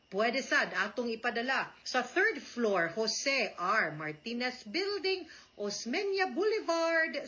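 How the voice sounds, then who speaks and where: A woman's voice: a little high, bright, forceful tone, poor audio. A woman with a Cebuano Filipino accent hosts a legal advice show, explaining things informally and conversationally, with frequent tag questions and some English mixed in.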